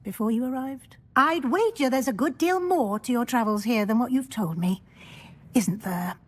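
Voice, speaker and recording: An actress voicing a stern older female professor of magic in a video game, speaking in a crisp, wry, authoritative way. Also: fairly high pitch, normal speed, natural voice. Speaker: deputy headmistress.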